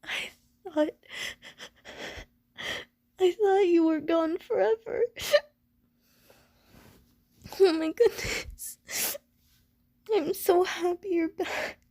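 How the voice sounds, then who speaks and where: Woman playing a slow, soft-toned, gentle Medusa. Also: extremely high pitch, creaky, no room sound, clean studio sound, exaggerated voice. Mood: tearful joy. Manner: formal, careful, stilted wording, pleading and apologetic. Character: gentle, anxious, lonely female Medusa wary of humans. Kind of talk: ASMR audio roleplay acting.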